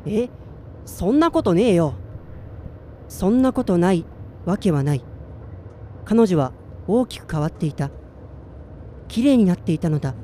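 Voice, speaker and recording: A man's voice: high voice, expressive, unhurried, pauses often, mellow, a little hiss or hum. An actor playing a listless, self-doubting man in his twenties in a radio drama, plain-spoken in narration and dialogue. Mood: casual, surprised. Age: 20s.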